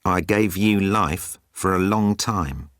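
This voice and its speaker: A man's voice: low voice, takes it slowly, fairly bright tone, no echo, studio quality. A man voicing a haughty, literal-minded electronic bird in an audiobook, with clipped, formal, pedantic delivery. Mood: flatly informative.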